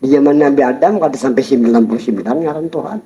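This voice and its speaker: A man giving a Sufi study-circle lecture, explaining calmly and chuckling while he addresses his listeners. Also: mid-range voice, quick pace. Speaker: religious teacher. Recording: dull lo-fi recording.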